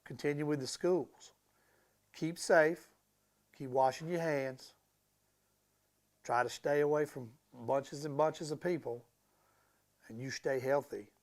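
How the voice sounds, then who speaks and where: A man reading a picture book aloud to children in an expressive, emphatic way, with casual asides to his young listeners. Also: fairly high pitch, slow, long pauses. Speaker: school transportation director reading aloud to students.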